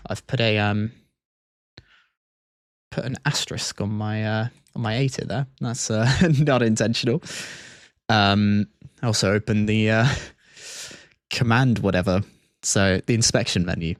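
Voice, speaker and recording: A man with a fairly low voice hosts a livestream in casual, methodical explanation. The sound is close and dry, with very little room around him. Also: no echo. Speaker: livestream host. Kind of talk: casual scripted-free stream commentary and explanation.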